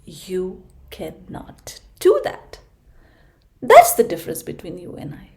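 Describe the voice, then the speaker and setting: A woman's voice: on the low side, wildly swooping pitch, slow, exaggerated voice. A woman voicing a boastful monkey in a storybook tale, with a bragging tone.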